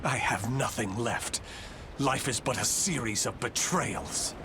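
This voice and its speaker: A man's voice: medium pitch, slow pace, noisy background, close to an everyday voice. An actor playing a proud, embittered fugitive nobleman in a video game, with formal, theatrical, brooding diction. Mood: despairing. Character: proud, embittered fugitive French nobleman swordsman, weary and betrayed. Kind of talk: dramatic video game acting. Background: music.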